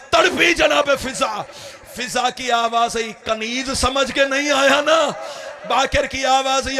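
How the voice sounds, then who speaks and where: A man's voice: very high-pitched, bright, forceful tone. A man delivering an impassioned mourning sermon, crying out and wailing to the mourners. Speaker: religious orator.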